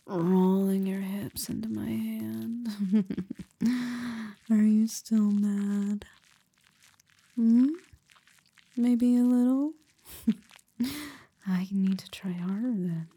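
A woman whispering a slow, teasing roleplay close to the mic, with a breathy voice. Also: mid-range voice, mellow.

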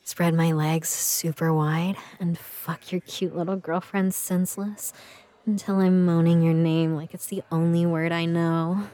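Woman acting as playful adult girlfriend, intimate audio roleplay, unhurried, breathy, soft mellow tone, teasing. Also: on the low side, flat delivery, lightly acted. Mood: breathy, aroused. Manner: breathy, slow, teasing, often dropping into whispers.

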